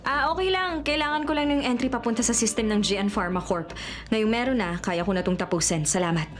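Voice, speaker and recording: A woman's voice: a little high, a little fast, soft background music, close to an everyday voice. Actress as an earnest streetwise woman hustler. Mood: guarded, resolute. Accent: Filipino. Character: streetwise female con artist, earnest and wry. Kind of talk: Filipino radio drama acting.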